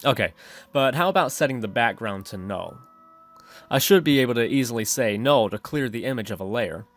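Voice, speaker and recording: A man narrating an instructional screencast as the host of a software tutorial. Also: medium pitch, expressive, a little fast, soft background music.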